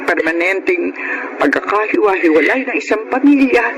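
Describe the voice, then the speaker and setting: An actor playing a sympathetic friend in a Filipino radio drama, consoling and emphatic. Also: male voice, sits high, lively intonation, moderate pace, background noise. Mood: sympathetic, sad. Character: sympathetic male friend consoling a bereaved family. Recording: loud music bed under the voice.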